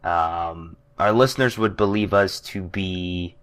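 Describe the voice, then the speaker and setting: A man's voice: low-pitched, takes it slowly, fairly bright tone, clean tone. A young man co-hosting a casual podcast, hesitant and full of ums, with dry jokes. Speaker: podcast co-host. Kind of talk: casual chat.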